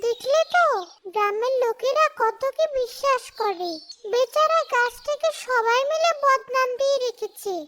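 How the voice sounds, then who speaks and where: A woman's voice: very high-pitched, normal speed, a bit bright, slight background noise. A woman voicing a cheerful, trusting young wife in a cartoon, speaking in a friendly, reassuring way. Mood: dismissive, calm. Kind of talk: cartoon voice acting.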